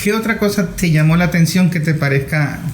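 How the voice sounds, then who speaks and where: Higher-pitched male interviewer, echoey room. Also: fairly quick. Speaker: interviewer, host. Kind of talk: conversational interviewing. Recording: roomy, echoing room sound.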